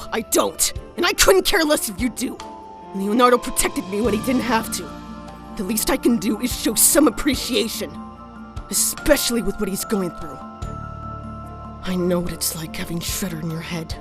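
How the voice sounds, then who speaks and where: A woman's voice: mid-range voice, expressive, normal speed, over music, natural voice. A woman playing a proud, haunted female mutant in a comic dub, earnest and defiant in her delivery. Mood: haunted, sorrowful. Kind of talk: animated comic dub dialogue.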